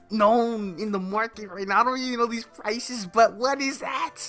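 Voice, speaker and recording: A young adult man hosting a casual, animated gameplay walkthrough, speaking close to a desktop microphone in a small room. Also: high-pitched. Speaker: gaming video host. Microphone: desktop condenser microphone close up. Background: game music.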